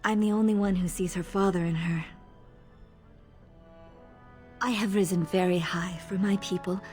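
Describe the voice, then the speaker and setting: A woman playing a modest, gentle elven lady-in-waiting in a video game, speaking politely and deferentially. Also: on the low side, fairly even intonation, fairly quick, natural voice. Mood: modest, tender. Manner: polite, deferential, soft-spoken. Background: music.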